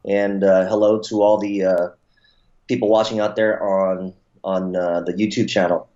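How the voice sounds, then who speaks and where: A man's voice: sits low, flat delivery, moderate pace, mellow. A man in his forties, born in Taiwan and raised in the United States, telling his story in a rambling way as an interview guest, with many fillers and restarts. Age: 40s. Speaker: interview guest, martial arts promoter. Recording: dull lo-fi sound.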